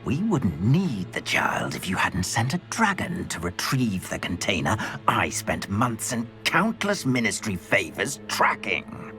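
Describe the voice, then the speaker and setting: A man playing an arrogant, irritable dark wizard villain in a video game, forceful and indignant. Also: fairly low pitch, huge pitch swings, measured pace, hardly any pauses, heavily acted. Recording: music under the voice.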